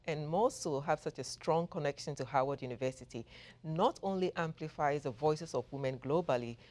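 A woman from Ghana, a professor, giving measured, thoughtful answers as an interview guest. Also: deep voice, a little mellow. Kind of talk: interview conversation.

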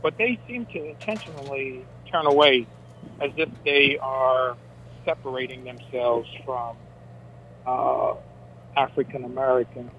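A man's voice: mid-range voice, a little slow, a bit bright, dull audio, slight background noise. A man in the audience asking a hesitant, searching question.

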